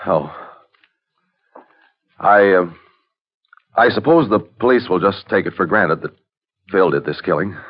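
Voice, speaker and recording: A man's voice: low voice, expressive, a little slow, pauses often. An actor playing an anxious, well-off man, courteous and earnest, in a 1940s radio drama. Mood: distressed. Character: anxious, polite, well-off man seeking his brother. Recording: muffled old radio recording.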